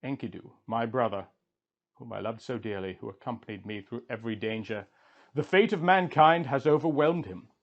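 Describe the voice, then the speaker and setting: A man's voice: mid-range voice, normal speed, natural voice. An anguished wandering hero-king, performed by a male reader, mourns in grand, emphatic storybook style. His weariness and grief shape the declamation, heard through a slightly muffled, lo-fi recording. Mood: grief-stricken. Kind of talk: dramatic audiobook reading of an ancient epic.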